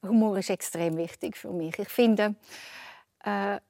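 A woman in her sixties with a Swiss German accent, a former politician speaking as a guest in a calm, thoughtful radio interview. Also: mid-range voice, measured pace, gravelly, no echo, clean studio sound. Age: 60s. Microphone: studio microphone.

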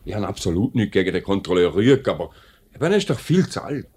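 Low-voiced, assured Swiss German director. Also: male voice, sing-song, a little mellow, slightly rough, heavily acted. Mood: persuasive. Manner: authoritative, brisk, conversational. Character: assured, plain-spoken male company director, fair but probing. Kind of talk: 1950s radio drama acting.

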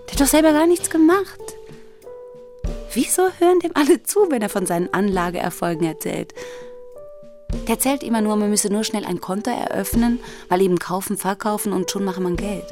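A woman's voice: medium pitch, brisk, darkish tone, soft background music. A woman speaking conversationally in a radio piece, with a pointed, questioning manner. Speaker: sceptical questioner.

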